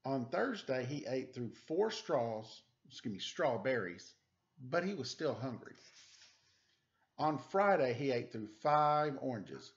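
A man in his forties doing a casual story time, chatting and reading aloud in a playful, expressive way. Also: mid-range voice, slow pace. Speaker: storyteller reading a picture book to children. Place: living room at home. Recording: dull, lo-fi webcam sound.

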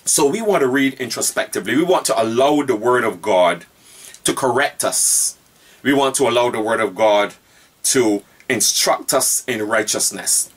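A man in his forties teaching Bible study as a pastor, speaking deliberately and repeating key phrases for emphasis, filmed by a webcam in a room lined with bookshelves. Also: medium pitch, expressive, husky, recorded from a distance.

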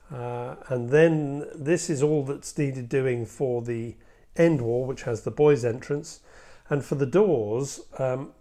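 A man's voice: medium pitch, darkish tone. A man in his sixties giving a casual, hands-on hobby walkthrough, explaining step by step with some hesitation. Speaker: hobby video presenter. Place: indoor hobby room at a workbench.

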